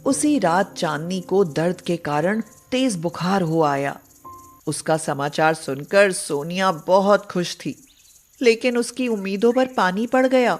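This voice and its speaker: Woman narrator, slow and low, measured storybook reading. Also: soft background music.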